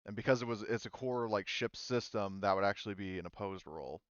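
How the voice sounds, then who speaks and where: Low, smooth-voiced man running a game. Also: fairly bright tone, no room sound, highs cut off. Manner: halting, informal, sweary. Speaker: game master of a tabletop roleplaying game. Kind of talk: casual improvised game narration and table chat.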